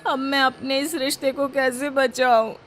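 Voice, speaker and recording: A woman's voice: high-pitched, little pitch movement, unhurried, soft background music, voice pushed up. A woman voicing an anxious, pleading young wife in a dramatized moral story. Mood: worried.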